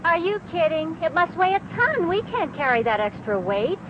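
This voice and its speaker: An actress playing a practical, skeptical young wife in a 1960s training film. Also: female voice, extremely high pitch, sing-song, moderate pace, noisy background. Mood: incredulous. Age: young adult. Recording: muffled 1960s 16mm film soundtrack.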